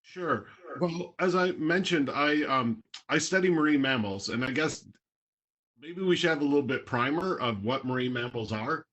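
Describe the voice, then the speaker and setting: A man's voice: on the high side, lively intonation, moderate pace, fairly bright tone, muffled recording. A man from Toronto, Canada, a marine biologist talking casually on a video call, explaining in plain words with a few fillers.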